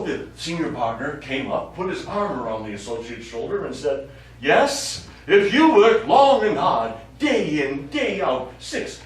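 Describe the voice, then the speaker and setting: An actor playing a glib, money-hungry middle-aged male lawyer in a stage play, hemming and self-amused. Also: fairly high pitch. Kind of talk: live stage play acting. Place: small indoor theater studio. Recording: echoey stage recording from far off, faint noise.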